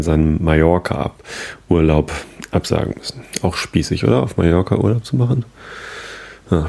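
Thirties guy chatting on his sleep podcast, low gravelly voice, dark and a bit husky, rambly and soft. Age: 30s. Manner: rambling, self-questioning, soft-spoken. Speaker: podcast host. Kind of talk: casual chat then sleepy reading aloud.

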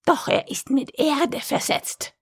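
A man voicing a cruel, greedy old witch with a shrill, cackling voice. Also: slow, vocal fry, fairly bright tone, no echo, studio quality, exaggerated voice. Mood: menacing.

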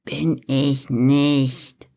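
A sassy lady frog with a dry, teasing drawl, put on by a man reading a storybook. She takes her time, pitched a little above his plain voice and slightly set back from the mic. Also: flat delivery, drawn-out words, gravelly, darkish tone. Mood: cheeky. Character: cheeky sarcastic female frog, calm and dry.

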